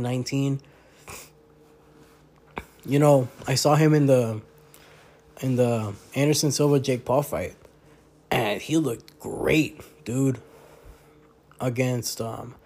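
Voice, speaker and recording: A man's voice: medium pitch, warm tone. A young man hosting his own podcast alone, congested and talking in a loose, rambling way with lots of fillers and restarts. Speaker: podcast host. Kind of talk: casual solo podcast talk.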